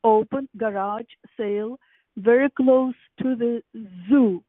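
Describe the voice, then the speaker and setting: A woman in a phone conversation with deliberate, formal phrasing, heard over an echoey, narrow phone line. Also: on the high side. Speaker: prank call recipient.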